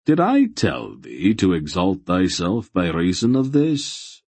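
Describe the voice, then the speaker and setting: Man voicing a grave, very deep, ancient god. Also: huge pitch swings, slow pace, breathy edge, no echo, full character voice. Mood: grave reproach. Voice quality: soft, solemn voice. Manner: archaic, commanding speech. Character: stern ancient bearded male god, grave and majestic.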